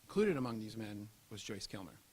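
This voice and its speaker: A man's voice: on the low side, sing-song, a little fast, a little mellow, breathy edge, poor audio. A man reading a scripted historical narration. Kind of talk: scripted documentary narration read aloud. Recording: audible hiss and background noise.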